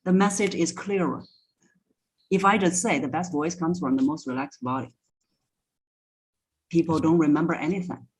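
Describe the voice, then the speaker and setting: A woman speaking as a guest voice coach in a podcast interview, explaining in a deliberate, instructive way and stressing key words. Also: low voice, low-quality recording.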